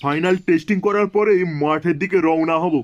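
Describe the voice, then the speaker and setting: A man plays a comedy cartoon crew boss. He blusters, swears and threatens, quick to lose his temper. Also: fairly high pitch, highs cut off, close to an everyday voice. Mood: irritated, bossy. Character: foul-mouthed hot-tempered gang boss of a sound-system crew.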